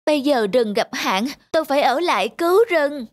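Gentle loyal cartoon elephant. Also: female voice, sits high, animated, moderate pace. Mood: earnest. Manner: earnest, gentle. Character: loyal kind male elephant, steadfast. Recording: music behind the voice.

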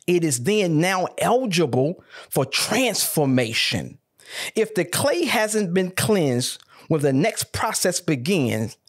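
Husky preaching man, lively pitch. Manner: preaching with rising emphatic calls. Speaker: pastor. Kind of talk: sermon.

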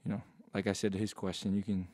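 A young man answering questions as a college basketball player at a postgame press conference, in a laid-back, low-key way, into a table mic. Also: flat delivery, fast talker, a little mellow, no echo, studio quality. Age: young adult. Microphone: table mic on stand.

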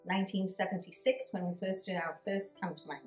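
A woman's voice: lowish voice, fairly even intonation, fairly quick, faint music behind. A woman in her seventies giving interview testimony as a youth club leader. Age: 70s. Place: small plain indoor room. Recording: roomy, slightly distant microphone.

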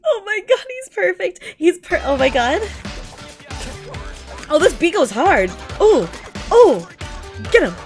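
An extremely high-voiced woman hosts an excited reaction video. She swings wildly up and down as she gushes and squeals, repeating phrases over and over, with music playing behind her. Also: slow, pauses often. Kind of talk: excited live reaction commentary. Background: game trailer soundtrack.

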